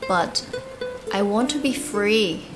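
A woman born in Hong Kong and raised in Australia, answering interview questions in a calm, thoughtful, soft-spoken way. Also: medium pitch, slow pace, over music, low-quality recording. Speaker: guest artist.

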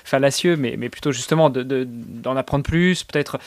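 A man's voice: medium pitch, moderate pace, no echo. A man hosting a podcast, asking questions in a casual, conversational style. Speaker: podcast host, interviewer.

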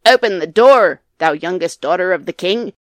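An enchanted frog from a storybook, acted out by a woman, declaims in formal, archaic phrases. He is insistent and pleading, projecting loudly in a very dry recording. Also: deep voice, lively intonation, moderate pace, no room sound. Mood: demanding. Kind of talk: storybook character voice.

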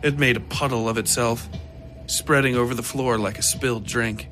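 A man narrating a dark fiction story in a deliberate, theatrical way. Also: medium pitch, steady tone. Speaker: narrator of a horror fiction podcast. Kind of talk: scripted dramatic story narration. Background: music behind the voice.

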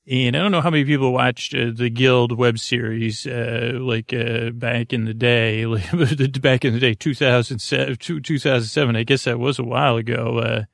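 Male sleep-podcast host. Meandering, stop-start delivery with plenty of vocal fry, very dry sound. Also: mid-range voice, fairly even intonation, dry recording. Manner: meandering, halting, repetitive. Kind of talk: rambling sleep podcast monologue.

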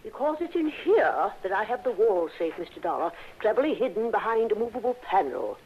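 Elderly wealthy widow, genteel, flattered, hesitant, lively pitch, muffled vintage radio drama recording. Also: female voice, fairly quick, slight background noise. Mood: genteel, helpful. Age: older. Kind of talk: 1960s radio drama acting. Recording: dull, lo-fi old radio recording.

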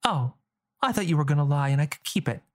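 A man voicing a bold, mischievous orphan girl who talks quickly and carelessly. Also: fairly high pitch, lively intonation, lightly acted. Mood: cheeky. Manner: quick, cheeky, carefree.

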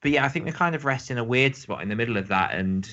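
A man's voice: mid-range voice, animated, fast, pushed and bright. A man co-hosting a podcast in casual conversation, on a slightly dull recording. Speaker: podcast co-host.

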